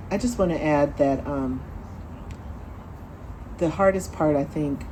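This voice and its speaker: A woman speaking on a panel in a measured, reflective way, with soft ums between her thoughts. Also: low-pitched, slight background noise, rough recording. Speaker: panelist, event founder. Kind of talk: panel discussion.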